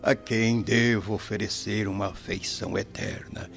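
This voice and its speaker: A man's voice: fairly low pitch, fairly even intonation, normal speed, creaky. A man acting a noble, forgiving patriarch spirit with solemn, declamatory delivery in a radio drama. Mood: solemn devotion. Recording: muffled, music behind.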